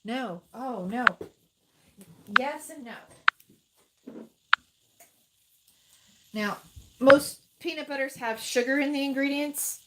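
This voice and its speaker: A woman's voice: high voice, takes it slowly, pauses often, very noisy. A woman with a nasal voice chatting casually on a livestream, showing food products. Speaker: co-host of a livestream.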